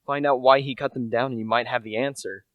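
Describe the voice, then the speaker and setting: A man's voice: mid-range voice, fairly even intonation, fast, dry recording, narrow, tinny audio, lightly acted. A young man playing a humble, earnest salesman turned amateur sleuth in a radio play, plain-spoken and a little hesitant. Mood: thoughtful. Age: young adult.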